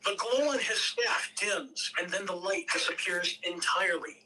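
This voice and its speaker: Bright-voiced woman narrating a script. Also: fairly low pitch, far from the mic, rough recording. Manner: measured, occasional stumbles over words. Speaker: narrator reading stage directions. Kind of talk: script narration at a table read.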